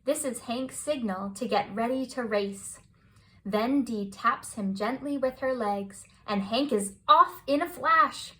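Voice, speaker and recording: A woman reading a children's picture book aloud as scripted bedtime narration, with expressive, deliberate phrasing. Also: on the high side. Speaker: children's storybook reader.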